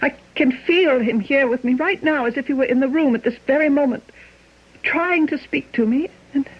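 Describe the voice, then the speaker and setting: A woman's voice: high voice, moderate pace, close to an everyday voice. A woman playing a devoted, grieving wife in a radio mystery, genteel and emotional, stubbornly refusing to give up hope. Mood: wistful, anguished. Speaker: radio drama actor. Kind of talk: old-time radio mystery acting. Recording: muffled old radio drama recording.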